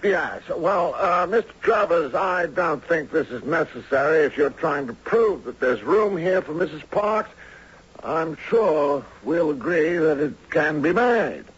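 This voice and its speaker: A man playing an authoritative but uneasy board official in a 1970s radio drama, with a husky voice and formal, measured manner. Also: fairly high pitch, expressive, heavily acted. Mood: uneasy, placating. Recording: dull 1970s radio recording.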